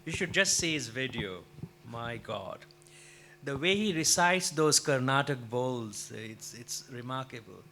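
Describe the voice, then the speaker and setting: A man moderating a panel discussion on music, speaking in a reflective, measured way with frequent fillers. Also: mid-range voice, pushed and bright, slight background noise.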